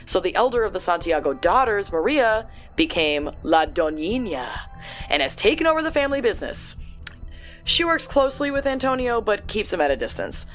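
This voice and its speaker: A woman plays a brash young tech whiz on a superhero team in an audio drama. She explains things in a wry, slangy way at a medium female pitch. Soft music sits underneath. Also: highs cut off, lightly acted. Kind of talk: audio drama acting, conversational exposition.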